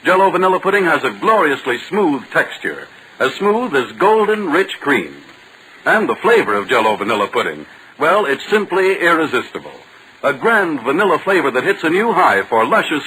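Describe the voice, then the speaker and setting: Old time radio announcer guy, highish lively voice, polished sales pitch, faint hiss. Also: low-quality recording. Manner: polished, theatrical salesman delivery. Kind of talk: 1940s radio advertising and scripted narration. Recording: hissy vintage 1940s radio recording.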